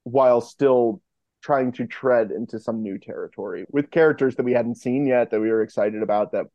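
A man's voice: mid-range voice, moderate pace, dark tone, no room sound, highs cut off. A man speaking as a podcast guest in casual, rambling, enthusiastic interview chat. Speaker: podcast guest, fiction podcast co-creator.

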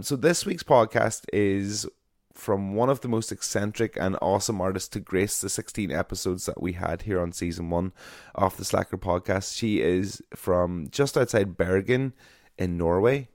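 A male podcast host talks in a chatty, joking way, wandering off on tangents. His voice sits on the low side. The sound is dry and close, as in a small basement studio. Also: vocal fry, a little mellow, no room sound. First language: English only. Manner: chatty, jokey, rambling. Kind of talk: casual podcast interview.

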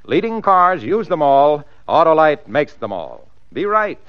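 An American man working as an announcer and sponsor pitchman in 1940s radio style. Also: sing-song, unhurried, clear, resonant tone. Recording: dull old radio broadcast recording.

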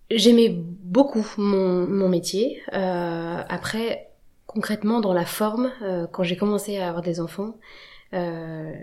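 A woman in her thirties answering interview questions, hesitant and full of pauses. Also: mid-range voice, mellow. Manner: hesitant, many fillers and restarts. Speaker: podcast guest.

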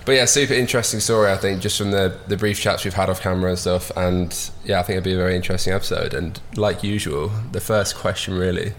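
Deep voiced guy hosting a podcast, talks quick and laid-back, swears a bit. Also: fairly even intonation, slight background noise. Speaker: podcast host. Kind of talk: casual interview chat.

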